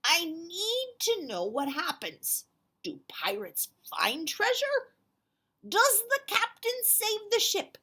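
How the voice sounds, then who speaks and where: A woman reading aloud and voicing an eager young male dragon who loves books, with a high, loud, excited pleading voice. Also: slow, exaggerated voice.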